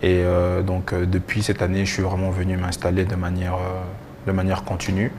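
A young man giving halting answers with repeated words as a guest in a TV interview. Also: sits low, completely flat delivery, noisy background. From DR Congo and Belgium. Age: young adult. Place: TV studio. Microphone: lapel mic.

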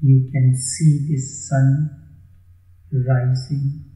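Very slow man guiding meditation, echoing. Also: medium pitch, flat delivery, dark tone. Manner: measured, with long pauses between short phrases. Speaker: meditation guide. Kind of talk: guided meditation narration.

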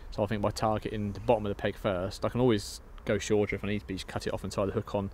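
Fast-talking angler, low voice. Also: male voice, little pitch movement, darkish tone. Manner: quick, practical explaining. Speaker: match angler guest. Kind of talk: casual explanation while fishing. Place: outdoors on a riverbank. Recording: outdoor recording, faint noise.

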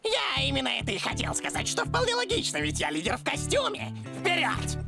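A blustering cartoon villain leader with a high voice that leaps around dramatically. The delivery is theatrical, self-important and bossy, with music playing behind it. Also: female voice, huge pitch swings, brisk, vocal fry, heavily acted. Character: hammy, bossy villain gang leader in a costume. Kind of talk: animated cartoon dub acting.

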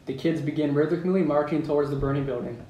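A man's voice: a little high, fast, warm tone. A man reading stage directions aloud at an amateur script table read in a room at home, in a plain manner with some amusement. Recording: roomy, distant mic with background noise.

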